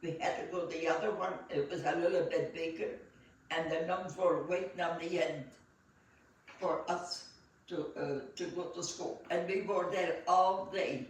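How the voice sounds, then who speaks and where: A woman, recalling her life in an interview in a halting manner full of fillers and restarts. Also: on the low side, fairly even intonation. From Belgium. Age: 90s. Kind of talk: reminiscing storytelling. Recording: echoey room, voice set far from the mic.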